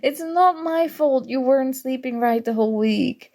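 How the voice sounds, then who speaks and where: A woman's voice: sits high, normal speed, lightly acted. A young woman acting in an ASMR audio roleplay as a prickly but grudgingly fond student, teasing and defensive. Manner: teasing, defensive, flustered, soft-spoken tsundere.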